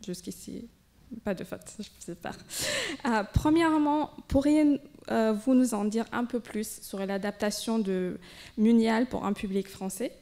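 A woman's voice: on the high side, unhurried, warm tone. A young woman interviewer who reads prepared questions from her notes into a handheld mic, with a few hesitations, in a room indoors.

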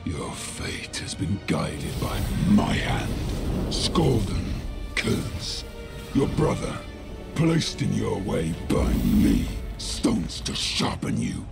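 A man voicing an ancient, sinister shadow demon in a video game cinematic, growling with slow, theatrical menace and gloating cunning. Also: deep voice, wildly swooping pitch, heavily acted. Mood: gloating, menacing. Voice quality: gravelly, growling. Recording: loud music behind. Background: loud music.

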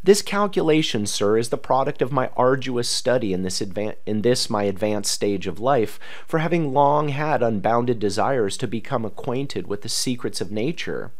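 A man reads a historical letter aloud in a formal, oratorical manner with emphatic pauses. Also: medium pitch, animated. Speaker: reader.

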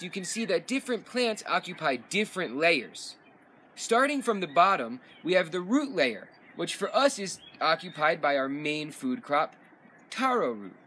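A man's voice: sits high, a bit bright, slight background noise. A young man outdoors on a farm, steadily and methodically explaining how it works, recorded by a camera a few meters away. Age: young adult. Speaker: farmer giving a tour. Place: outdoors on a farm among gardens and animal pens.

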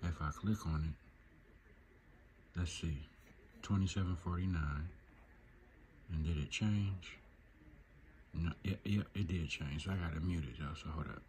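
A man's voice: deep voice, measured pace, long pauses, a little mellow, a little hiss or hum. A man giving a casual, off-the-cuff tutorial, thinking aloud in an informal way as he demonstrates. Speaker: video creator demonstrating shortwave radio listening. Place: indoors at a laptop. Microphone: handheld phone behind the camera.

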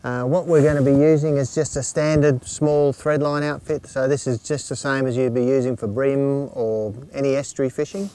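A man's voice: mid-range voice, fairly even intonation, moderate pace, few pauses, dark tone. A man in his fifties with an Australian accent gives informal, plain-spoken instruction in an easygoing way, outdoors. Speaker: fishing instructor. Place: outdoors on an open lake shore.

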